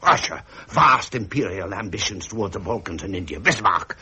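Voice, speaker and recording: An actor playing a genial English royal heir in a radio drama, speaking with courteous, earnest eloquence. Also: male voice, low-pitched, expressive, normal speed, bright, forceful tone, slightly rough, full character voice. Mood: earnest persuasion.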